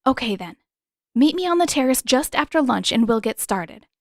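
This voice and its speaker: Lady reading as a flustered teen girl, stubborn n brisk, urgent, audiobook character, dry sound. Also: high-pitched, sing-song, no echo, pitched above the performer's own voice. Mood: businesslike. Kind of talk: fan fiction audiobook character voice.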